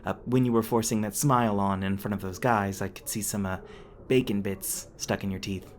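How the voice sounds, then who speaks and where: Mild female hotel clerk for audio roleplay, performed by a man: soft, courteous, faltering, background noise. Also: fairly low pitch, fairly even intonation, fairly quick, natural voice. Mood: mild, polite. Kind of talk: ASMR audio roleplay acting.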